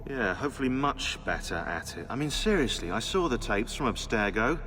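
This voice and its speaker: A man's voice: mid-range voice, very animated, fairly quick, pushed and bright, a little hiss or hum. Snide British man, reverberant. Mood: condescending. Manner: sarcastic, clipped politeness. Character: snide, condescending young British male archivist. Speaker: actor voicing a game character. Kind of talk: naturalistic video game cutscene acting. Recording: game dialogue with reverb, faint music.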